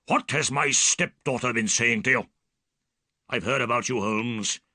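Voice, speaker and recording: A man's voice: medium pitch, unhurried, pushed and bright, no echo, pitched above the performer's own voice. Menacing, rude brute of a man, read by a narrator. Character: large, rude, threatening older man.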